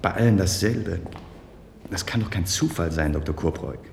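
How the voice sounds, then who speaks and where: A man's voice: sits low, normal speed, gravelly, a little mellow. A man playing a grave, worried hospital colleague in a German radio play, speaking tersely. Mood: grim. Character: grave, worried male hospital colleague bringing bad news. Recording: echoey reverberant hallway, background noise.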